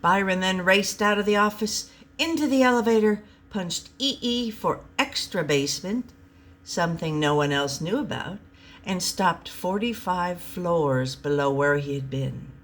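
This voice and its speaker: Female storyteller, slightly low voice, slow delivery, reading a comic short story.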